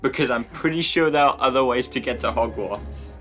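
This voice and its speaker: Bright-voiced man in casual banter. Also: medium pitch, a little fast, soft background music. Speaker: co-host of a film commentary. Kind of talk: casual joking film commentary chat.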